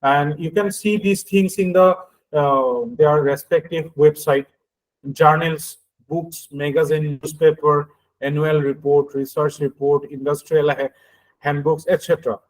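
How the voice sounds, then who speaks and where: A man with an Indian accent lectures in a fairly high-pitched voice. He explains things through everyday examples, moving haltingly with frequent hesitations and short checks for understanding. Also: steady tone, low-quality recording.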